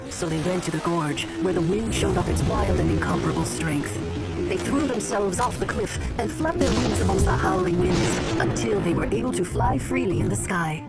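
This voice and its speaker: A woman narrating a solemn fable as a storybook narrator in a video game, measured and lyrical. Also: lowish voice. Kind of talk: scripted fairy-tale narration. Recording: loud music under the voice. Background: music.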